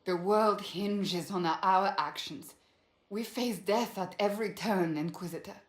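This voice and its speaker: A woman's voice: mid-range voice, a little slow, lightly acted. Stern warrior woman in an echoey space, played by an actress. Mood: stern, pained. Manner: formal, intense, emphatic. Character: stern, guarded warrior woman resisting romance. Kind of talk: dramatic fantasy game voice acting.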